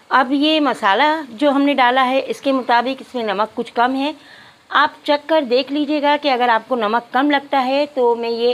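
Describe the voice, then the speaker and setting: A woman narrating a cooking tutorial step by step, addressing viewers politely. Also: high-pitched. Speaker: cooking channel host.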